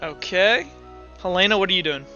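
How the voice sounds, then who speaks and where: A man with a high, forceful voice runs a tabletop roleplaying game. He explains rules and scenes casually, often stopping to rephrase. The sound is dull and lo-fi, with faint music underneath. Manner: casual, halting explanations with self-corrections. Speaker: game master.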